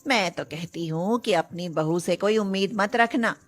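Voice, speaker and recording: A woman's voice: mid-range voice, normal speed, pitched below the performer's own voice, close to an everyday voice. A woman voicing a disapproving but consoling middle-aged village neighbour woman in a cartoon story.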